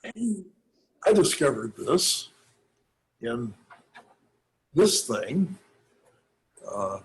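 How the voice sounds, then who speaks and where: A man, a minister, giving an informal talk on church history, thoughtful and halting with many pauses. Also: medium pitch, sing-song, husky, poor audio.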